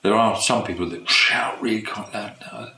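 A man in his sixties from England, an amateur choir singer talking softly and hesitantly in a documentary interview. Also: on the low side, steady tone, normal speed, slight background noise. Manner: soft-spoken, hesitant, chuckling. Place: living room with leather sofas. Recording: roomy sound with music behind.

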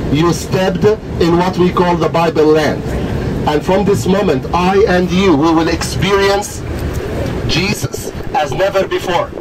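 A middle-aged man, guiding a bus tour in a fervent, preacher-like way with repeated rhetorical questions. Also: fairly high pitch. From Greece. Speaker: tour guide. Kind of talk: guided tour commentary. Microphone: handheld mic, heard from camera a few rows back. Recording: distant voice over loud bus noise.

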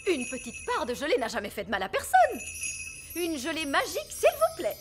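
A woman voicing a brisk, theatrical fairy nanny in a children's cartoon dub. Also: sits high, wildly swooping pitch. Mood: gleeful. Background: loud music.

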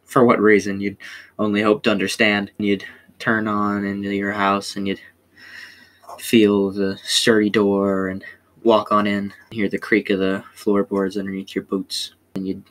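Young male storyteller, low and monotone, halting delivery, scene-setting narration. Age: young adult. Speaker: student storyteller. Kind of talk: descriptive second-person storytelling.

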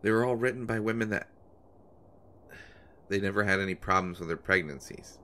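A man's voice: on the low side, brisk, pushed and bright. A man playing an anxious, devoted boyfriend of a pregnant woman in an intimate roleplay audio, speaking in a hesitant, stammering way. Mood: earnest, teasing. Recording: noticeable background noise.

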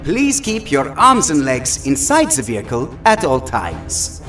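A man playing a young, wry and gentle mutant hero in an audio drama. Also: mid-range voice, huge pitch swings, unhurried, far from the mic, faint music behind. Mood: playful.

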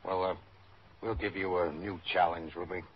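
An actor playing a tough police detective in an old radio drama, dry, laconic and needling. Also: male voice, low-pitched, steady tone, moderate pace, slightly rough. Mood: dryly needling. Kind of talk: 1950s radio drama acting. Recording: muffled, noisy old radio recording.

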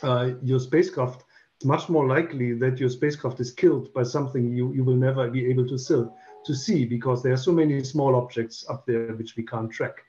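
A man in his fifties, an English speaker, explaining carefully as a panel guest on a video call and pausing on many "uh" fillers. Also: medium pitch, steady tone, dark tone, faint music behind. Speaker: panel guest, space safety expert. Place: home room, indoors. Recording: dull lo-fi call audio.

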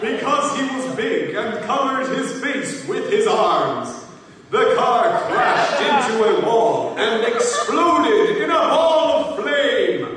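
High, lively man in a very echoey hall. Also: slow pace. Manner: mock-dramatic, joking. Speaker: panel performer. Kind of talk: comic dramatic reading of fan fiction. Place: large hall, convention panel with audience. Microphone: far camera in audience. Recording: echoey hall from far off, noisy crowd. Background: audience noise.

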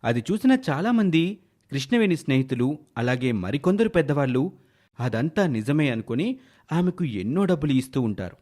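A man's voice: medium pitch, sing-song, moderate pace, a little mellow. A man narrating a scripted moral story in a dramatic storytelling style.

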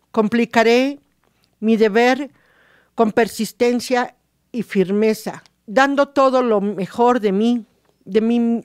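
Mexican woman, radio host, clean resonant voice, higher pitch, halting deliberate reading, dry sound. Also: smooth, no echo. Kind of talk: reading recovery reflections aloud on radio.

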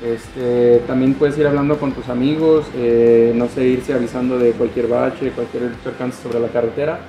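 A man's voice: medium pitch, little pitch movement, normal speed, mellow. Casual Mexican salesman in echoey shop. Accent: Mexican Spanish. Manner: casual, many "este" fillers. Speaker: sales adviser. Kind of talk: product explanation. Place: motorcycle gear shop, indoors.

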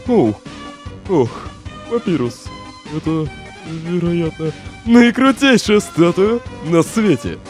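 A man's voice: on the high side, very animated, slow, a little mellow, faint music behind. A man voicing a laid-back, weary skeleton big brother in a comic dub, joking, secretive and casual in his slangy talk. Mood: amused, easygoing. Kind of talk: comic dub voice acting with narration.

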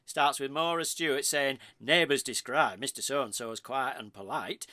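Morbid, gossipy British husband male actor, comic radio play. Theatrical and forceful, very dry close recording. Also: medium pitch, normal speed, no echo, lightly acted. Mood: gleeful, morbid. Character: excitable morbid husband spinning lurid murder theories.

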